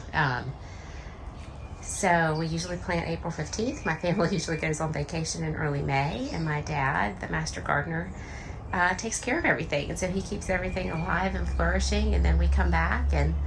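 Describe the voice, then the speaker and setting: A hoarse woman rambles cheerfully to her viewers, full of ums and asides. Her voice sits low. A noisy outdoor hum runs underneath. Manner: rambling, filler-heavy, chatty. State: hoarse, deep voice after illness. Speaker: vlogger. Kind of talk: casual chat to camera. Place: home and backyard garden.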